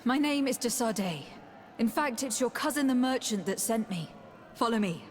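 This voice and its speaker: Earnest young noblewoman played by an actress, fairly high. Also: animated, moderate pace, close to an everyday voice. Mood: polite. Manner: formal, composed, earnest. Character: noble, earnest young female diplomat adventurer. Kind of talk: fantasy game dialogue. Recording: reverberant hall, music underneath.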